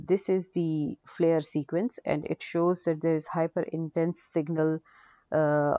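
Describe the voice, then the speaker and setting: Low, dark-voiced woman lecturing. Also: no room sound. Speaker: radiology lecturer. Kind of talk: unscripted case-review lecture. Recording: dull, dry lo-fi audio.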